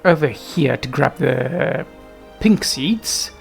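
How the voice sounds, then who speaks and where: A man's voice: on the high side, lively intonation, slow, vocal fry, soft background music. A man giving casual, unscripted gameplay commentary in a hesitant, rambling way, often trailing off. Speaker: gaming video host.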